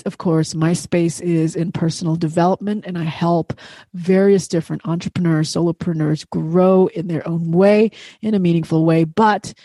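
A woman's voice: on the low side, mellow, no echo. A woman from Indonesia hosting a solo podcast, talking straight to her listeners in an emphatic way, often repeating words for stress. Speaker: podcast host.

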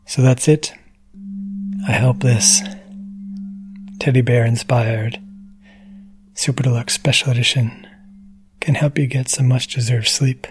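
A man's voice: medium pitch, steady tone, unhurried, pauses often. A man giving a casual spoken introduction to a podcast episode as its producer. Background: soft gentle music.

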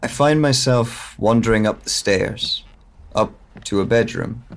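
A man's voice: medium pitch, a little slow, gravelly. An actor playing a troubled man with lost memory, musing alone in a hesitant, reflective audio drama monologue. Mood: pensive, uncertain. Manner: hesitant, reflective, broken phrasing.

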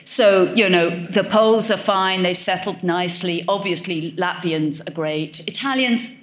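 A woman's voice: fairly low pitch, measured pace. A woman author answering audience questions at a live talk, thoughtful and wry, often correcting herself as she goes. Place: event hall with audience.

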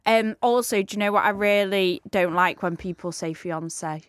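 A British woman in her thirties, co-hosting a podcast with blunt, teasing chat. She reads out listener messages in a mid-pitched voice. The recording is dry and close. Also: normal speed, no room sound. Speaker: podcast co-host.

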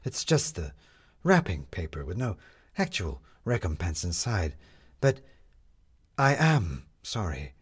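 A man voicing a proud, pompous male schemer in a story, deliberate and emphatic. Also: sits low, big pitch swings, slightly rough, exaggerated voice. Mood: contrite.

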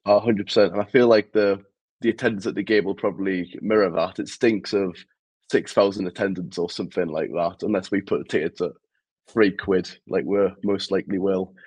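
A man's voice: low-pitched, steady tone, a little fast, a little mellow, husky, no room sound. A man with a Geordie accent, co-hosting a casual football podcast, frank and self-deprecating. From Newcastle, England. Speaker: podcast co-host.

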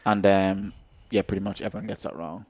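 A man's voice: deep voice, steady tone, fairly quick, a little mellow. Rambling young man in casual chat. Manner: rambling, self-interrupting, joking. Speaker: podcast host.

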